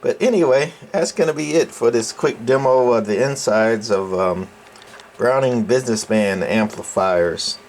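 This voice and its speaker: A man presenting an informal hands-on technical demo in a halting, folksy, explanatory way, recorded indoors on a camera microphone. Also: mid-range voice, darkish tone, rough recording. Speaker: channel host. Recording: clear background noise, room ambience.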